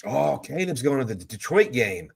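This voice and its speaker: A man's voice: medium pitch, big pitch swings, moderate pace, creaky, slightly rough. A man hosting a live stream, chatting loosely and answering viewer questions in a rambling, easygoing way. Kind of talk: casual live stream chat. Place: hotel room.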